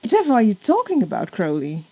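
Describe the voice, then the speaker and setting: A woman's voice: on the high side, wildly swooping pitch, quick pace, warm tone, breathy edge, highs cut off, full character voice. Woman doing a prim, easily scandalised male angel. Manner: incredulous, formal.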